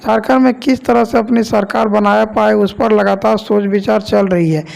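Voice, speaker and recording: Male news presenter, Jharkhand Hindi accent, very creaky high voice, flat formulaic delivery. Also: moderate pace. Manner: repetitive, formulaic delivery with stock phrases. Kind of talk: online news voice-over.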